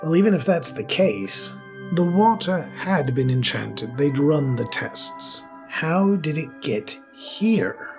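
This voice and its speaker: A man's voice: on the high side, sing-song, takes it slowly, heavily acted. A man playing a flustered, bookish young wizard with an awkward, stammering manner in an audio romance story. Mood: puzzled. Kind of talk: scripted audio fiction, narration and character dialogue. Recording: music behind the voice.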